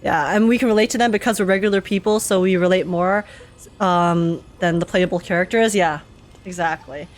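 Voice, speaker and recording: A woman's voice: on the low side, a little fast. A woman streaming a game with casual, slangy, joking live commentary and the odd swear word. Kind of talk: casual live commentary, reading dialogue aloud. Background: game music.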